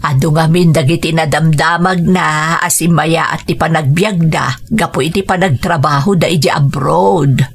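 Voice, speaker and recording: An actress plays an elderly mother in a radio drama. Her voice is husky and on the low side, with a gravelly creak. She is gentle, anxious and fond of her daughter. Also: steady tone, moderate pace, few pauses, heavily acted. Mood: reassuring. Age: older. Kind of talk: Ilocano radio drama acting.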